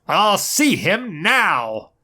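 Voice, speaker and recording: A man voicing a calm, forceful male superhero in a comic dub, speaking in a firm, measured, commanding way. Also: high voice, voice pushed up, heavily acted. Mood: determined. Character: stern heroic male strongman, calm and forceful.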